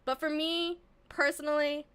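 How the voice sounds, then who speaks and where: A woman's voice: high voice, little pitch movement, moderate pace, a bit bright. A young adult woman streamer, Vietnamese by first language, giving earnest, rambling advice to her chat with laughs and restarts.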